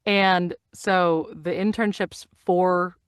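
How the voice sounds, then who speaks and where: A woman's voice: on the low side, fairly even intonation, slow, smooth, no room sound, clean studio sound. A woman guest in an interview, agreeing readily and answering at easy length. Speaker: guest, actor and narrator.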